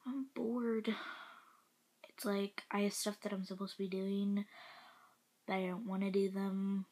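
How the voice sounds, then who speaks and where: A woman's voice: mid-range voice, level tone, unhurried, mellow. A young woman vlogging casually from her bedroom, rambling and self-mocking, often dropping to a whisper, a little congested, filmed on a phone held at arm's length. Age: young adult. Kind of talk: casual vlog chat. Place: bedroom, indoors. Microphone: phone camera at arm's length.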